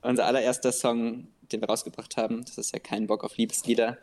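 A young man who is a guest band member, talking calmly with many fillers in a casual interview. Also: mid-range voice, fast talker. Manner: calm, even, with many fillers.

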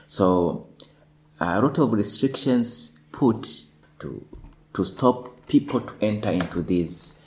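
A lawyer in his thirties answering interview questions deliberately, often repeating words as he searches for them. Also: lowish voice, expressive, rough recording. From northwestern Tanzania.

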